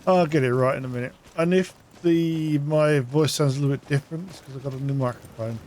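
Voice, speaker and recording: A man's voice: a little high, brisk, slight background noise. A man hosting a gaming video, giving casual playthrough commentary and reading in-game text aloud. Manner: chatty, self-narrating. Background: soft game music.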